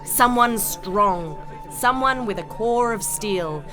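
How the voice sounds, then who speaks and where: A woman's voice: mid-range voice, animated, slow. A woman playing a haughty, defiant princess in an audio drama, regal and declamatory. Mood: defiant, rousing. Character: haughty, defiant royal princess facing execution. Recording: music under the voice.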